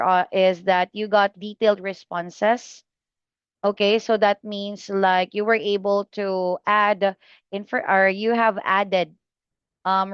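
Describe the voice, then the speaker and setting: A woman tutoring English exam students, giving feedback slowly and patiently with many fillers and pauses. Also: medium pitch, clear, resonant tone, no echo, lo-fi sound. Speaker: IELTS speaking tutor.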